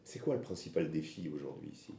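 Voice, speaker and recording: A man's voice: very deep voice, animated, fast talker, gravelly, warm tone. A man interviewing a police director, asking questions hesitantly and searching for words. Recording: echoey room with faint background noise.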